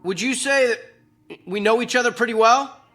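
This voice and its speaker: An American actor playing a troubled, brusque office executive, terse and probing, with sardonic irony in a naturalistic drama. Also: male voice, very high-pitched, normal speed, pushed and bright, faint music behind, heavily acted. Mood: insistent.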